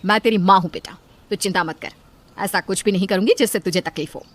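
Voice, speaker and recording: A woman's voice: mid-range voice, fairly quick, lightly acted. A woman playing an outspoken, modern middle-aged mother in a cartoon story, teasing and assertive. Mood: caring. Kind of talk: animated cartoon story acting.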